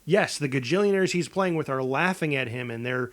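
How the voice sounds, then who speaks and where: A man co-hosting a podcast, talking casually in a halting, rambling way as he improvises a pitch. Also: animated, fairly bright tone. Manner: halting, rambling delivery with false starts. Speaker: podcast co-host.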